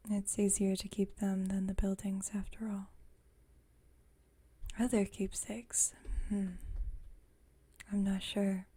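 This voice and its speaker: A woman acts out a wry, gentle female vampire roommate in an ASMR roleplay. She speaks in a soft, husky whisper with a very even, narrow pitch. Her delivery is unhurried and nostalgic.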